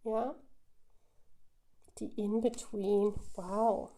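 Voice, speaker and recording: Woman with a mellow voice, musing aloud. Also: mid-range voice, fairly even intonation. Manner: musing, halting, thinking aloud. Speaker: tarot reader, host. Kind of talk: casual intuitive tarot reading, spoken to viewers.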